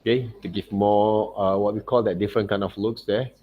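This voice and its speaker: Man, lowish voice, digital art teacher, informal step-by-step demo, thinking aloud, frequent okay and right check-ins. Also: fairly even intonation, fairly quick, phone-quality sound. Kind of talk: informal software tutorial demonstration.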